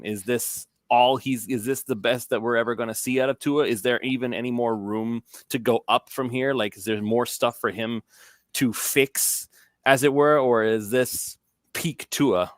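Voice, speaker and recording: Halting man posing questions on a podcast. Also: mid-range voice, no room sound, studio quality. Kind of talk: casual sports chat.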